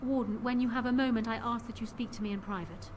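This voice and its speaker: A woman's voice: on the high side, steady tone, fast talker, lightly acted. A woman playing a proud, calculating young queen in a fantasy video game, speaking formally and with assertive composure. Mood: proud, commanding. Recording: game audio with clear background noise.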